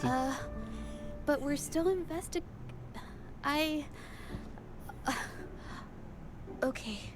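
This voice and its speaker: A shy anxious painter girl from a game, high voice, slow and hesitant, music behind. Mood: nervous. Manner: hesitant, timid, apologetic. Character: shy, anxious, soft-hearted young female painter. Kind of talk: video game voice acting.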